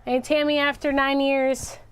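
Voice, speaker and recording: High-voiced woman chatting through a craft livestream. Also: little pitch movement, unhurried. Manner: chatty, self-narrating, repeats words. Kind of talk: casual live craft chat, thinking aloud.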